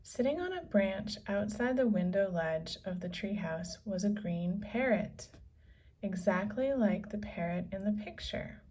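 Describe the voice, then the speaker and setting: A woman reading a children's story aloud as narrator, with measured, deliberate phrasing. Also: medium pitch, expressive, darkish tone, a little hiss or hum. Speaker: storybook reader. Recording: slightly distant from the microphone.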